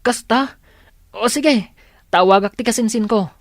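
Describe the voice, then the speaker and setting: A man's voice: high voice, a little slow, dry recording, clean studio sound. A man acting an earnest, helpful young male student in an Ilocano radio drama, with an Ilocano Filipino accent. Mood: friendly, reassuring.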